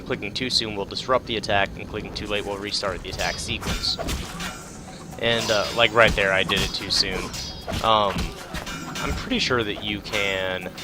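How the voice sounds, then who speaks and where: Low-voiced man over game music. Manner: laid-back, thinking aloud. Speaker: let's play host. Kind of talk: casual game commentary, reading tutorials aloud.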